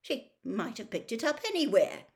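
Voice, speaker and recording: A woman's voice: a little high, sing-song, normal speed, pitched above the performer's own voice. A woman voicing a hot-tempered, blustering male wizard official who is easily cowed, in an audiobook story. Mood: impatient. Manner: roaring, impatient, accusing.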